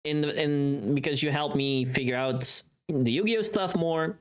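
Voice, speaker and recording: A woman's voice: deep voice, a little slow. A woman livestreamer chatting casually in a playful, teasing, informal way, often thinking aloud and trailing off.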